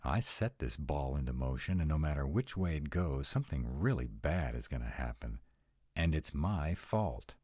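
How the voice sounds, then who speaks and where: A man performs a sarcastic male vampire in an intimate roleplay audio, with an extremely low, husky voice. He talks expressively and conversationally, an anxious immortal who still sounds young at heart, his pitch swinging widely. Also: expressive, moderate pace, a little mellow, no echo, clean studio sound, heavily acted. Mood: guilty. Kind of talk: intimate one-sided roleplay audio acting.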